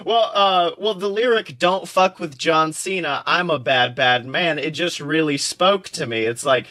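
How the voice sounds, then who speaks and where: A young man with a bright, forceful voice that sits a little high. He chats casually and comedically as a podcast co-host, animated and slangy, swearing freely and delivering silly points with mock seriousness. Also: a little slow. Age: young adult.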